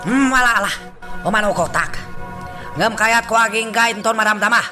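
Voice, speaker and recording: An actor playing an impatient, hot-tempered gang boss in an Ilocano radio drama. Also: male voice, high voice, normal speed, music in the background, close to an everyday voice. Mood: agitated, impatient.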